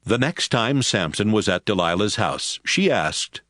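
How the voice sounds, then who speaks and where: A man's voice: lowish voice, sing-song, unhurried, fairly bright tone, dry recording, clean studio sound. A man giving scripted narration for a Bible audio drama.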